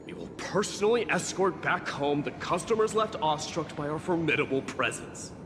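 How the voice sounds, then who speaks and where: A man's voice: a little high, expressive, normal speed, background noise, natural voice. A man voicing a proud, boastful warrior in a video game, speaking in a formal, declamatory way. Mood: haughty. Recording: long hall reverb under music.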